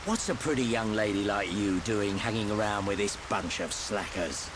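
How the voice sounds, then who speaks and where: An actor playing a gruff, worldly older wanderer, teasing and colloquial, in game cutscene dialogue. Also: male voice, on the low side, moderate pace, background noise, lightly acted. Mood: wry.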